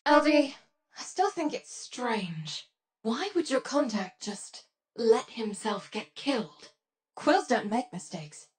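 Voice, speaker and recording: A woman's voice: a little high, very animated, slow pace, slight background noise. A woman playing a tough, determined rebel leader in a video game, giving terse, intense, commanding mission orders. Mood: suspicious. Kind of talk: dramatic game mission dialogue.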